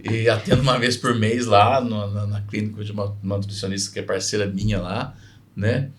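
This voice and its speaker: A man's voice: lowish voice, steady tone, fairly quick. A man from Minas Gerais with a Mineiro accent, a physician guest chatting on a podcast, rambling and dropping frequent tag questions. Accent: Mineiro Brazilian Portuguese. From Minas Gerais, Brazil. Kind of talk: casual podcast conversation.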